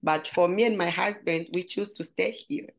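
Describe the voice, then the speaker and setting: A woman's voice: lowish voice, normal speed, vocal fry. Congolese-accented woman, earnest and searching. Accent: Congolese French. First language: French. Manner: earnest, searching for words in English. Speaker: guest. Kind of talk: interview conversation.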